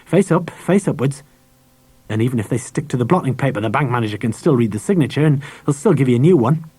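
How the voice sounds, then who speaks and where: A man's voice: medium pitch, lively intonation, fast, a little mellow, husky. A man voicing a kindly, nervous, grey-haired schoolteacher in clipped, abrupt, repetitive phrases for a storybook. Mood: fussing, helpful. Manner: clipped, abrupt short phrases, repeats himself.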